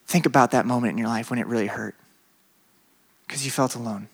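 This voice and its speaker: A young adult man, a pastor with a slight speech impediment, preaching a lively sermon to students, swinging from loud bursts to hushed stretches. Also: mid-range voice, brisk, slightly rough. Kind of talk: sermon to a college crowd.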